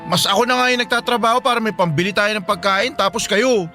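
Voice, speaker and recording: High-pitched, stammering young son. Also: male voice, fast, pushed and bright, faint music behind. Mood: hurt, resentful. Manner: stammering, hesitant. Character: timid, pleading young adult son. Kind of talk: radio drama acting.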